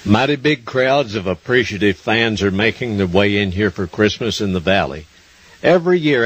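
A man's voice: fairly low pitch, fairly bright tone, slightly rough, poor audio. An older man with a Southern US accent, announcing a country music radio show in a homespun, neighborly manner. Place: on stage in a large wooden barn theater. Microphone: stand microphone close to the mouth.

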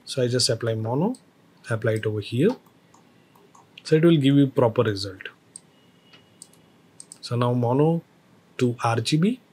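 A man teaching a screen-recorded software tutorial, explaining each step with hesitant phrasing and fillers. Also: medium pitch, lively intonation, normal speed, long pauses, husky.

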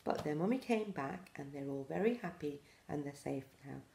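A woman in her forties gently reading a picture book aloud to pre-school children, with expressive storytelling. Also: low-pitched, moderate pace, gravelly, echoey room, slight background noise. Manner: gentle, addressing small children. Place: small room indoors.

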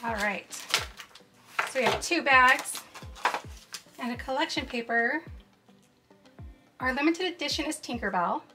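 A woman's voice: sits high, expressive, measured pace, pauses often, over music. A young woman hosting a cheerful toy unboxing, gasping and exclaiming over each toy as she opens it. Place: indoors at a tabletop set.

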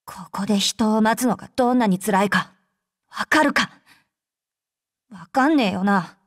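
A woman voicing a brash, tsundere young female android soldier in an anime game, with rough, blunt, tomboyish speech. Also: mid-range voice, moderate pace, no room sound, clean studio sound, close to an everyday voice. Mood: irritated. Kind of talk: Japanese anime game character voice lines.

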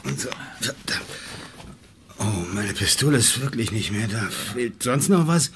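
An actor playing a gruff, commanding and irritable agent in a German crime radio drama, talking tersely like an interrogator. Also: male voice, medium pitch, lively intonation, a little slow, a bit bright, a little hiss or hum. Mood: groggy, tense. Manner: terse, interrogating, coarse when pressed. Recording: music behind the voice.

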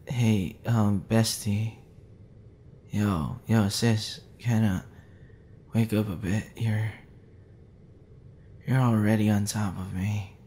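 A man's voice: fairly low pitch, steady tone, dark tone, a little hiss or hum. A man playing a drunk, flustered young man with a tender streak, stammering and rambling in an intimate ASMR roleplay. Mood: drowsy, flustered. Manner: stammering, halting, tipsy rambling with breathy sighs.

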